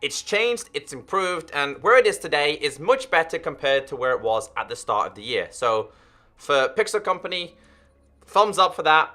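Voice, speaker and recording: A man's voice: on the high side, big pitch swings, normal speed, pushed and bright. A man in his twenties, a gaming YouTuber talking earnestly and directly to his viewers. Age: 20s. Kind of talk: direct-to-camera commentary.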